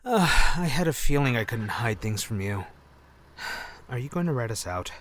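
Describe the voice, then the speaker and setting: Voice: mid-range voice, big pitch swings, normal speed, a little hiss or hum, natural voice. A performer playing a wry, uneasy male undercover agent in an audio drama, sardonic and hesitant. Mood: nervous.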